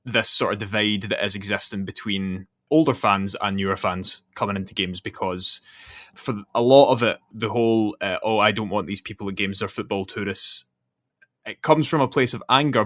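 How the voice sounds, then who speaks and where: Male podcast host, low voice, dry close recording, rambling explainer. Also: a bit bright, no room sound. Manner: talkative, explains at length with fillers. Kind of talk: casual podcast interview.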